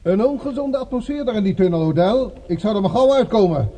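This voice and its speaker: An actor playing a coolly polite, menacing villain in a radio drama, curt and commanding with a husky voice. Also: male voice, high-pitched, lively intonation, a little fast, mellow. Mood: cold threat.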